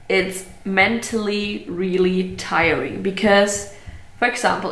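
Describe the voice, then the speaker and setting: Young woman vlogger, mid-pitched, chatty and unfiltered, recorded in a roomy space. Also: fairly bright tone. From Germany. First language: German. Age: 20s. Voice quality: chatty, candid, laughs often, swears casually. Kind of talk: casual chat to camera. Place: at home, indoor room. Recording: roomy echo.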